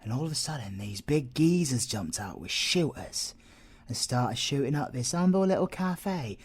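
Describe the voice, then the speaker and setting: A British man playing a cocky, flirtatious male siren in an ASMR audio roleplay, breathy and teasing, often slipping into whispers. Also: medium pitch, animated, moderate pace, slight background noise, natural voice. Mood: wry storytelling. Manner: teasing, theatrical, drops into whispers.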